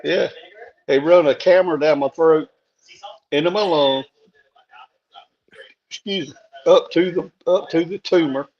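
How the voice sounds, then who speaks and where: A man with a hoarse voice, chatting casually and plain-spoken on a live stream, laughing things off. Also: a little high, unhurried, pauses often. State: hoarse after a throat tube. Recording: dull lo-fi call audio.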